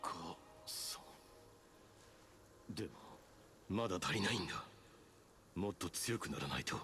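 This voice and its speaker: A man's voice: deep voice, expressive, slow, heavily acted. A man voicing a grim, driven young male martial artist in a game cutscene, clipped and resolute. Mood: subdued. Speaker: game character. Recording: roomy, distant, over loud music.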